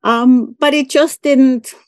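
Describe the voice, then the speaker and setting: Older woman, halting friendly talk. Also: high voice, steady tone, no room sound, studio quality. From Venezuela. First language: Spanish. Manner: hesitant, many fillers and restarts, laughs easily. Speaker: interviewee. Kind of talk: conversational oral-history interview.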